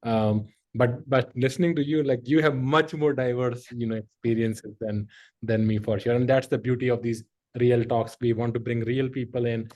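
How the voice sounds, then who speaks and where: A man's voice: medium pitch, fairly even intonation, moderate pace, darkish tone. A middle-aged man, hosting a podcast and telling stories in a rambling, filler-heavy way with lots of laughter. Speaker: podcast host. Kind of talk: casual conversational storytelling.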